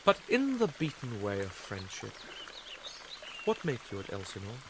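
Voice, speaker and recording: An actor playing a young prince feigning madness, sardonic, playful and bitter in a theatrical Shakespearean radio drama style. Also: male voice, on the low side, wildly swooping pitch, moderate pace, noisy background. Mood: probing.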